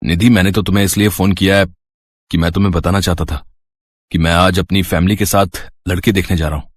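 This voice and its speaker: A man's voice: sits low, fairly even intonation, brisk, no echo, clean studio sound. A man playing an earnest young suitor in a moral story, speaking plainly. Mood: matter-of-fact.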